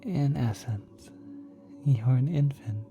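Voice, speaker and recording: A man plays a kind, otherworldly cosmic being in an ASMR roleplay. The voice is dark and velvety, breathy and often dropping to a whisper. It moves very slowly and haltingly, coddling the listener tenderly over ambient music. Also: medium pitch, muffled recording. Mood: patient, explaining. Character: kind, doting ancient celestial being, ethereal and motherly. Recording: cosmic ambient sound effects behind.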